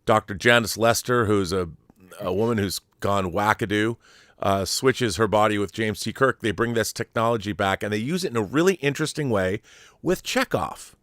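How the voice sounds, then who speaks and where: A man's voice: fairly low pitch, moderate pace, fairly bright tone, no echo, clean studio sound. A man hosting a pop culture show with a grandiose, playful, theatrical manner. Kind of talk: showy scripted-sounding intro and casual fan discussion.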